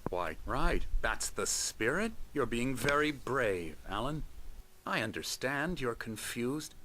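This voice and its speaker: An actor playing a middle-aged psychiatrist in a video game cutscene, measured, condescending and outwardly reassuring. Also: male voice, medium pitch, sing-song, bright, forceful tone, a little hiss or hum, heavily acted, poor audio. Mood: soothing, patronising. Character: smooth, condescending male psychiatrist running a clinic.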